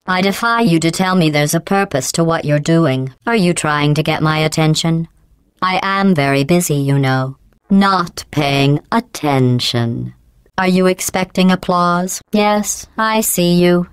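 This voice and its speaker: A woman voicing a cold, sarcastic female AI in a game, with a robotic processed sound and a deadpan, mocking, passive-aggressive delivery. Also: low-pitched, clean tone, dry recording. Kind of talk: video game voice acting.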